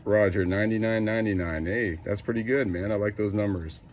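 A low-pitched American man works a CB radio amid loud noise. He speaks casually in clipped call-outs, repeating himself as radio operators do. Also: brisk.

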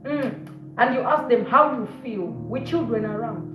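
A woman's voice: on the high side, unhurried, over music. A woman in her forties preaching a fiery sermon in her living room, repeating words and moving about, recorded on a phone a short way off. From Zambia. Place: living room of a house, indoors. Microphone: phone camera on a stand at a short distance. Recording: roomy, dull lo-fi phone audio.

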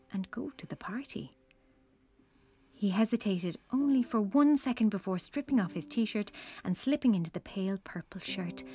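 A woman narrates a children's story from a script. Her voice is on the low side, and music plays behind her. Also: a little mellow.

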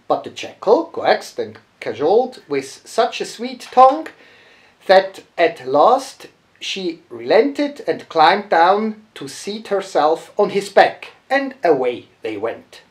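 A man in his fifties reading a bedtime story aloud for children in an expressive, deliberate way, as a lodge host. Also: high voice. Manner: slow, expressive, deliberate phrasing. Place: lodge bedroom, lying in bed.

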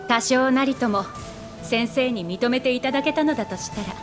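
A woman's voice: high voice, normal speed, over music, lightly acted. A woman playing a polite, earnest young physician in a Japanese video game, courteous and composed. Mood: grateful.

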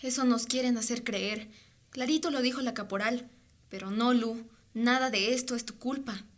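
A woman's voice: on the high side, moderate pace, slight background noise, lightly acted. A woman acting a loyal, firm teenage girl who urges and encourages, in a radio drama. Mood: firm, supportive. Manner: insistent, encouraging.

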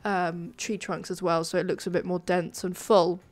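A woman's voice: on the low side, flat delivery, moderate pace. Nasal, chatty woman with a cold. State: ill with a sore throat, slightly flat voice. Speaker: gaming video creator, narrator. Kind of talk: casual speed-build commentary, chatty voice-over.

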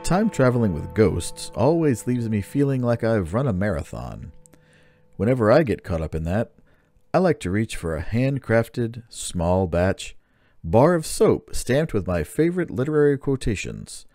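A man's voice: on the low side, sing-song, moderate pace, warm tone, no room sound, clean studio sound, faint music behind. A man playing a genial host of an old-fashioned radio program, announcing. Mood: showmanlike.

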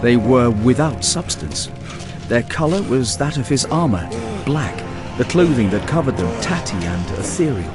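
A man narrating a fantasy audiobook in a measured, grave, dramatic storytelling style. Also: mid-range voice, lively intonation. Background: music.

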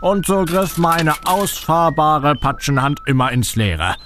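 A man's voice: on the high side, animated, slow, a bit bright, soft background music. A man playing a creepy old reporter. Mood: ominous. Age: older. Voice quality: theatrical, ominous, melodramatic. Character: shady older male reporter, creepy and theatrical. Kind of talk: comic radio play.

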